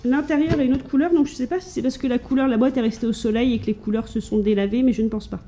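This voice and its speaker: A woman from France chats casually to her camera at home. She talks in a mellow, warm voice pitched a little high, stopping and restarting her sentences with plenty of fillers as she shows her finds. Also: fairly even intonation, fast talker. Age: adult with children. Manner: chatty, hesitant, lots of fillers and restarts. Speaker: vlogger showing thrift finds.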